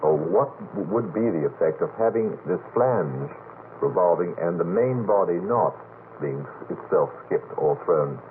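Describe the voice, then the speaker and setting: A low, deep male voice comes through a narrow, hissy old radio recording. He is middle-aged and talks conversationally in a halting, rambling way, doubling back on words and asking if he is being followed. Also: expressive. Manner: halting, repeats words, seeks agreement. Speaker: radio panel talk participant. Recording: noisy vintage late-night radio, narrow tinny band.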